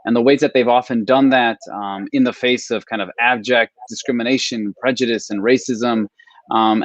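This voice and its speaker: A man in his thirties, a history professor from Milwaukee, talks in an earnest, measured way and often pauses on fillers. His voice sits in a middle pitch. The sound is dry and close, as on a video call. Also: no room sound. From Milwaukee, Wisconsin, US. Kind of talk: guest on a video call talk.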